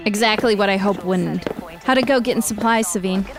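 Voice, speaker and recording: An actress playing a steady, commanding woman who leads her neighbors in a radio drama. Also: medium pitch, brisk, close to an everyday voice. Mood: firm. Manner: firm, matter-of-fact. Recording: clear street ambience noise.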